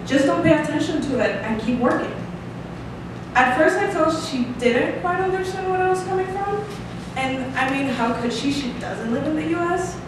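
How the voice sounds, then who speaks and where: A woman's voice: sits high, moderate pace. A woman in her twenties with a Costa Rican Spanish accent, a native Spanish speaker from Costa Rica, giving an earnest prepared speech read from paper at a lectern. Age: 20s. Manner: earnest, reading aloud with hand gestures, light laughs. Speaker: student speaker. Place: small room, standing at a lectern. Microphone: stand mic at some distance. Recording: echoey room, distant mic, audible hiss.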